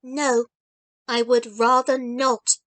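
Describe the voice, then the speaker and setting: A woman's voice: fairly high pitch, little pitch movement, takes it slowly, a bit bright, no echo. A woman voicing an eager little girl. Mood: firm. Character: excited little girl, eager and warm-hearted.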